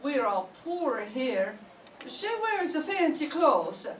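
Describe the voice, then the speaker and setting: An older woman playing a poor, frightened immigrant mother in a radio drama, pleading in halting broken English. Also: high voice, very animated, full character voice. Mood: shaken. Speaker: amateur radio drama performer. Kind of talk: live reading of a 1940s radio drama. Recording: echoey room, distant mic, faint noise.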